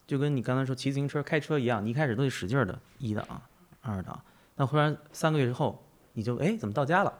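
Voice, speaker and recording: Fast-talking man, emphatic podcast host. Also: medium pitch. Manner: emphatic, repeats himself, rhetorical questions. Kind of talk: conversational interview, philosophical musing.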